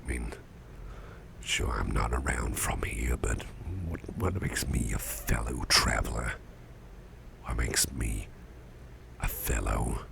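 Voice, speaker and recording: A man playing a sickly, drowsy, kindly boss in an ASMR roleplay, murmuring breathily and haltingly in a gravelly voice, often repeating himself. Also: a bass voice, a bit bright, slight background noise, heavily acted. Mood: uneasy, puzzled. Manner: slow, rambling, hesitant, repeating himself. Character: sickly, drowsy, sweet-tempered boss confiding in his employee.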